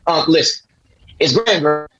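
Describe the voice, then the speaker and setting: A man's voice: a little high, expressive, a little slow, dull audio. A man playing a blunt, exasperated younger nephew in an acted script reading, with a dry manner. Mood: serious. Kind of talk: acted table read.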